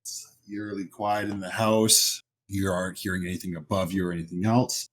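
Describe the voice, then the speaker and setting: Lowish-voiced man running a tabletop game. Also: fairly even intonation, a little fast. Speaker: game master.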